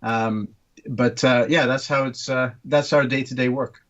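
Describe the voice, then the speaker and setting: A man's voice: medium pitch, fairly even intonation, unhurried, a bit bright. Hesitant man in his thirties, dull audio. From Turkey. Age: 30s. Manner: hesitant, lots of ums and uhs, explanatory. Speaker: guest orthopaedic surgeon. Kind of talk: casual podcast interview.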